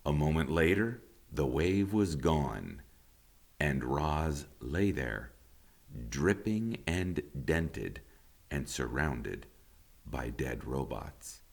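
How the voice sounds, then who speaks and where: A man narrating a children's story aloud like a teacher, with expressive, deliberate dramatic emphasis. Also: rumbling low, creaky.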